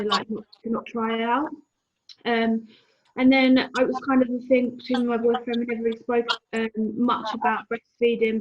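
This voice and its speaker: A woman's voice: on the high side, dull audio, slight background noise. A woman in her twenties speaking on a video call as a guest, hesitant and full of ums. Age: 20s. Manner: hesitant, many ums, even tone. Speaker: guest mother. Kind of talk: casual video call chat.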